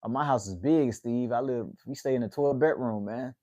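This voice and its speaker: A man's voice: medium pitch, normal speed, a little mellow, no echo. Laid-back twenties man, dry close sound. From United States. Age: 20s. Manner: laid-back, drawls "man" at the ends of phrases. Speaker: livestream host. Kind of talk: casual livestream chat with viewers.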